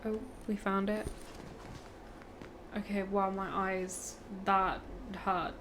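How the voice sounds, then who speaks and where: A woman's voice: medium pitch, flat delivery, takes it slowly, noisy background. Chatty, teasing woman guest. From Punjab, India. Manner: chatty, teasing, laughs often. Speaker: podcast guest. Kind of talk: casual chat.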